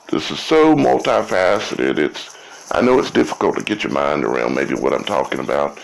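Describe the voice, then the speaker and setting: A man talks through his explanation informally, at a very slow, halting pace. His voice is heavy with vocal fry and swings widely in pitch. He repeats himself and often tags phrases with a questioning check on the listener. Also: rough recording. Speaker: video creator.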